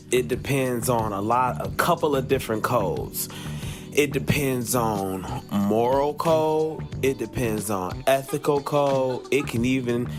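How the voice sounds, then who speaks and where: A man hosting a podcast, giving conversational advice in an emphatic way and repeating phrases for effect. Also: mid-range voice. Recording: music playing under the voice. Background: music.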